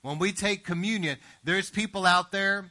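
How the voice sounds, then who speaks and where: Male pastor, bright and forceful tone, slightly high voice, emphatic sermon delivery. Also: moderate pace. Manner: earnest, emphatic preaching with frequent "Amen?" asides.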